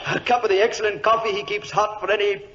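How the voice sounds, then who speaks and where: High-voiced, excitable young clerk, old radio. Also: male voice, fast. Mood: brisk, polite. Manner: polite, excitable, wordy. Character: high-strung well-bred young Foreign Office clerk, engaged. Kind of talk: 1940s radio acting. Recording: muffled, noisy vintage radio recording.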